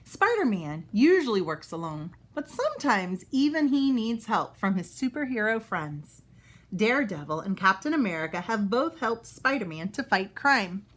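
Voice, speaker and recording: A woman reads a children's story aloud for young listeners. Her mid-pitched voice moves up and down in an animated, expressive way, and she adds small explanations as she goes. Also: moderate pace. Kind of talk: children's book read-aloud.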